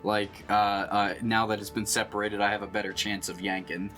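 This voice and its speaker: A man talks through his turn in a tabletop game, casual and hesitant as he thinks aloud. His voice sits slightly below average pitch for a man. Faint music plays behind him. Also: flat delivery, normal speed, bright, forceful tone. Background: background music.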